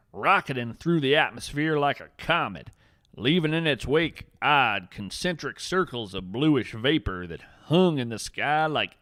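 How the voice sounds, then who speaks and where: A man's voice: mid-range voice, pushed and bright, dry recording, clean studio sound. A man playing an earnest, folksy naturalist who narrates scripted fiction podcast tales in a dramatic, wryly whimsical, literary way.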